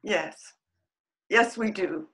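A woman acting in a radio drama as a shy, flustered Edwardian lady who talks in a halting, bashful way. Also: mid-range voice, a bit bright, husky, exaggerated voice. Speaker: radio play actor.

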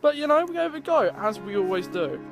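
A man's voice: high voice, big pitch swings, quick pace, vocal fry. Chatty young man filming by a river. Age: young adult. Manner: chatty, rambling, addresses viewers as guys. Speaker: fishing vlogger. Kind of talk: casual outdoor vlog. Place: riverbank outdoors in winter. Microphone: handheld camera at arm's length.

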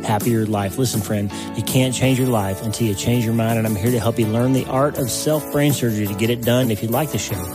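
A man's voice: mid-range voice, brisk, hardly any pauses. Upbeat man introducing his show over music. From United States. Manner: upbeat, encouraging. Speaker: podcast host.

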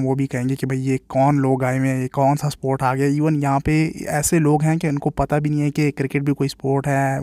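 A man's voice: medium pitch, mellow, dry recording. A man giving chatty, opinionated vlog commentary while walking outdoors and filming himself. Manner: chatty, opinionated, keeps saying "bhai". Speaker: cricket vlogger. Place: outdoors, walking along streets and parks.

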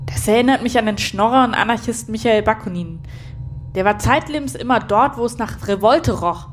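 A woman's voice: a little high, fairly quick, slight background noise, lightly acted. Woman playing a sardonic, irritable station captain. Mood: exasperated. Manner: blunt, sarcastic, exasperated. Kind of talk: scripted sci-fi audio drama.

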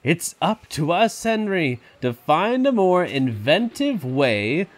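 An excitable elderly park owner with a grandfatherly air, as a man acts him out. His pitch swoops all over the place, and he draws his words out slowly. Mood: persuasive. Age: older. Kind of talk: fan audio drama acting.

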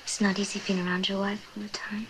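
An actress playing an anxious, tender mistress in a crime drama, speaking in a hushed, intimate way. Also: female voice, mid-range voice, flat delivery, quick pace, noisy background, natural voice. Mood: nervous, intimate. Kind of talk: television crime drama acting.

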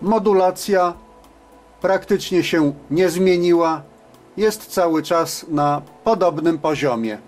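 A man giving a scripted technical narration as a reviewer, in a measured, matter-of-fact way. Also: fairly high pitch, a little mellow, soft background music. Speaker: reviewer of CB radios.

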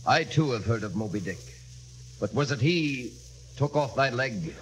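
Male actor as first mate, mid-pitched, lively intonation, formal, uneasy, deferential, faint noise behind. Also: normal speed, close to an everyday voice, poor audio. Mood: grave. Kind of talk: mid-century radio drama acting. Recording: old drama recording with background noise.

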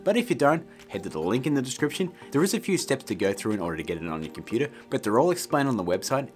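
A man in his thirties hosting a video tutorial in a casual, jokey style. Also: on the low side. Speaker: host of a video tutorial show. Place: small indoor studio room. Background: faint music.

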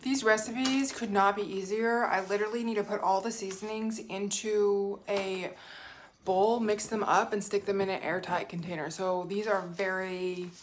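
A woman's voice: mid-range voice, steady tone, moderate pace, a little hiss or hum. Chatty woman vlogging from her car. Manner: chatty, lists items aloud, thinks out loud. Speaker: home cooking vlogger. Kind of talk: casual talk to camera. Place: parked car, then home kitchen. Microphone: phone camera held at arm's length.

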